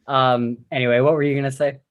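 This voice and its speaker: A man's voice: medium pitch, flat delivery, fast talker. A man co-hosting a casual podcast book discussion, talking like an enthusiastic fan. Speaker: podcast co-host.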